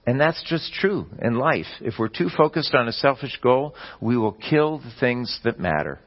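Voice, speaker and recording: A man preaching a sermon, explaining scripture in a measured teaching manner with the odd restart. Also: mid-range voice, expressive, muffled recording. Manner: measured teaching delivery with occasional slips and restarts.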